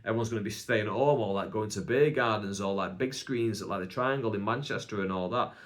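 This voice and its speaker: Young Bolton comic, solo podcast host: rambling, self-deprecating, slightly low register. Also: male voice, quick pace, a bit bright. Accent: Northern English, Bolton. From England. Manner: chatty, rambling, self-mocking, many "like" fillers. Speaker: podcast host and stand-up comedian. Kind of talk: casual solo podcast monologue.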